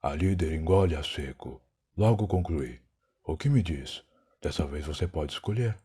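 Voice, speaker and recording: Proud, weary time traveler played by a man, Brazilian accent, low husky voice, solemn dramatic narration for an audio drama. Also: animated, moderate pace, mellow, exaggerated voice. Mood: grave, challenging. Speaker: narrator and lead character. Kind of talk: dramatic first-person scripted narration.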